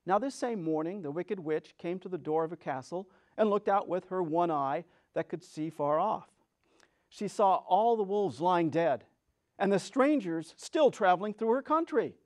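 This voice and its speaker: A man with a notably high voice reads a children's story aloud. His delivery is theatrical and expressive, that of a storyteller giving a dramatic reading. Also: moderate pace. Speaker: storyteller, reader.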